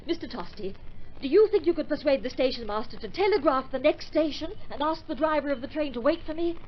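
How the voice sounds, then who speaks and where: A woman's voice: sits high, expressive, fast. A woman playing a determined, affectionate opera singer in an old radio drama, with refined theatrical delivery. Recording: muffled, noisy old radio recording.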